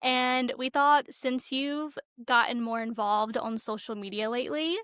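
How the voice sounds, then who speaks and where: A woman's voice: fairly high pitch, measured pace, a bit bright, clean tone, dry recording, clean studio sound. A young woman co-hosting a podcast in a casual, chatty style. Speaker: podcast co-host. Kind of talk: casual chat.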